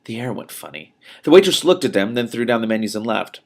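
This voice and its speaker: A man with a fairly low voice and a strong fry reads fiction aloud. His delivery is expressive and emphatic, sometimes falling to a hush, with the informal feel of a host sharing his reactions. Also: quick pace. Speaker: host reading a novel aloud with commentary. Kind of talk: expressive audiobook-style reading with spontaneous reactions.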